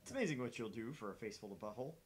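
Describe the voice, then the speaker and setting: A man's voice: medium pitch, expressive, racing. A man game streamer reading a visual novel aloud in a casual storytelling style. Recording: faint background noise with clicks.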